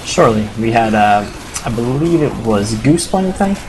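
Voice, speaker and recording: A hoarse man doing casual live play-by-play commentary on a competitive video game match, excitable and quick to swear. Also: mid-range voice, background noise. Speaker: esports commentator.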